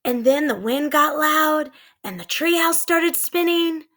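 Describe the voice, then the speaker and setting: A woman acts out a bold young girl from a children's storybook. Her voice is high and very lively, eager and friendly, full of exclamations. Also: measured pace.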